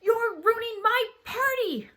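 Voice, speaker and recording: A woman's voice: high voice, expressive, brisk, a bit bright, pitched above the performer's own voice. A woman voicing an indignant, hot-tempered young girl in a children's audiobook, with a dramatic manner. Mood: indignant, shouting. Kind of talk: children's audiobook character acting.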